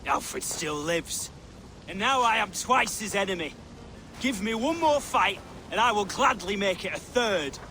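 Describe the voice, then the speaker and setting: Defiant fighter itching for battle, high n sharp, game cutscene, bit of background noise. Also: male voice, lively intonation, unhurried, full character voice, low-quality recording. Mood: defiant, eager. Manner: defiant, intense. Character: vengeful, battle-eager rebel warrior. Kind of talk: dramatic video game acting.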